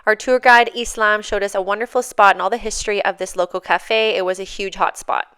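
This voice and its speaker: A woman's voice: mid-range voice, fairly even intonation, brisk, pushed and bright, clear, resonant tone. A woman from Canada reading a polished, informative voice-over for her travel video. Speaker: vlogger narrating. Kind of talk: scripted voice-over narration.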